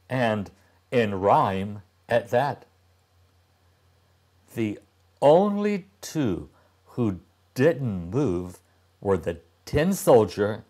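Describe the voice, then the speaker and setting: A man in his sixties reading a storybook aloud to young children, deliberately and with dramatic pauses. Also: lowish voice, darkish tone. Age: older, about 60s. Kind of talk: expressive storybook narration.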